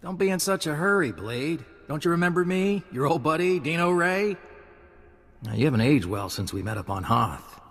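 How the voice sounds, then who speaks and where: A taunting husky thug. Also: male voice, on the high side, lively intonation, normal speed, a bit bright, big-room sound. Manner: mocking, taunting. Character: sly taunting thug, wary and smug.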